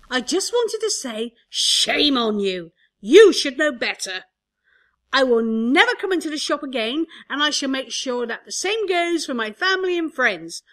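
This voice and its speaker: Radio comedy casting: actress for disapproving older woman, high-voiced, animated, emphatic scold. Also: exaggerated voice. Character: disapproving, self-righteous older woman customer. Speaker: actor in a radio play.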